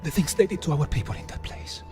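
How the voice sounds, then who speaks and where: A man's voice: on the high side, very animated, quick pace, music in the background. An actor playing a worried, earnest partisan man with an urgent, grave manner. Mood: grave, anguished.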